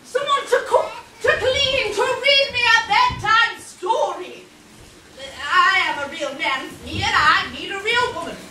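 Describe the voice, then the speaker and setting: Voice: very high voice, measured pace, pushed and bright, full character voice. A performer playing a vain, theatrical male pirate captain in a stage pantomime, pompous and declamatory. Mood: self-pitying. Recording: echoey theatre hall, far from mic, noisy.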